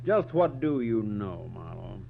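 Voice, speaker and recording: A man playing a guarded, well-off philanderer in 1940s radio drama, measured and businesslike. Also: on the low side, sing-song, vocal fry, a little hiss or hum, exaggerated voice. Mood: suspicious. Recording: muffled, humming 1940s radio recording.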